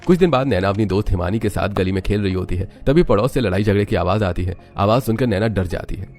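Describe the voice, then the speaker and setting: A man's voice: lowish voice, fast talker, darkish tone. A man narrating a scripted dramatic story, with music underneath.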